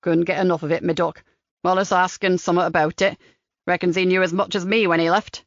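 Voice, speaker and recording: A woman voicing a chatty, kindly old countryman gardener with a broad Lincolnshire dialect, slow and lower than her own voice. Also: steady tone, no echo, natural voice. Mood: folksy. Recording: slightly dull dry recording.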